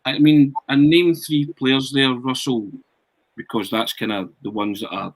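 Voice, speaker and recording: Earnest male panelist, average pitch, dry close recording. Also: fairly even intonation, measured pace, dry recording, poor audio. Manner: earnest, conversational, rhetorical asides. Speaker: podcast panelist. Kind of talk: casual football podcast chat.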